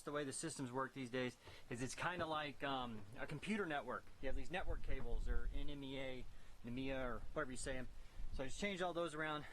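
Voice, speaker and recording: A man's voice: mid-range voice, a bit bright. A man hosting a sailing vlog, talking casually and plainly in an informal way. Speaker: host of a sailing travel series. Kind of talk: casual vlog talk and narration. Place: on a sailboat. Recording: noisy on-location boat recording.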